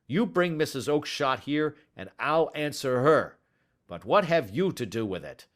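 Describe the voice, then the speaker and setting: A man reading aloud and voicing an angry, quarrelsome market salesman who shouts fiercely. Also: mid-range voice, very animated, a little slow, pitched above the performer's own voice.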